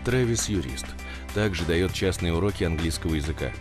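Deep-voiced man narrating over music. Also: animated, a little fast.

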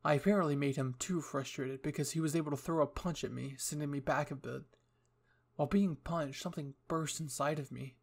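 A man's voice: a little high, steady tone, a little fast, creaky, slightly rough. A young man reading scripted material as the narrator and host of a story channel.

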